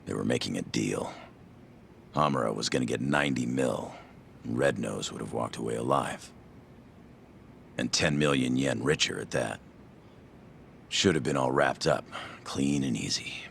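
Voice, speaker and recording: A tired gangster in a game drama. His voice is extremely low and full of fry, subdued and bitter as he confides, with faint noise behind. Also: moderate pace, pauses often, fairly bright tone, full character voice. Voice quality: very deep, creaky. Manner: subdued, resigned, confessional. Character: weary, bitter young yakuza man confiding his story. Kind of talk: video game cutscene acting.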